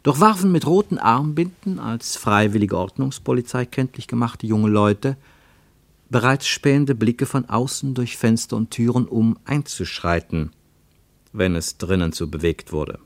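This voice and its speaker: An actor as the narrator of a literary radio play, telling a travel story in measured, rhythmic phrases. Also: male voice, medium pitch, expressive, dry recording. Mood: measured, descriptive.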